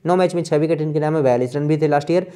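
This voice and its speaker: A man with an Indian accent giving an informal, brisk fantasy cricket preview as a channel host, running through player statistics. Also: on the high side, warm tone. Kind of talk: informal match preview commentary over on-screen stats.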